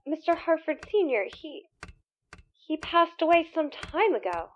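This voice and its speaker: Woman acting a high-voiced, hesitant household servant. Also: sing-song, unhurried, a little hiss or hum. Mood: hesitant, apologetic. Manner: formal, hesitant. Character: polite, hesitant household servant answering telephone. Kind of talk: 1930s radio drama acting.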